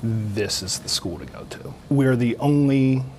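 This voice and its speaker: A man's voice: mid-range voice, animated, unhurried, a little mellow. A man with an American accent explaining things in an interview as an air traffic control instructor.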